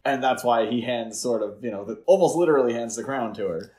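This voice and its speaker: A man's voice: mid-range voice, a little fast, mellow. A man co-hosting a podcast, chatting about films in an easygoing, jokey and conversational style. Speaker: podcast co-host. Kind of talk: casual chat, film discussion.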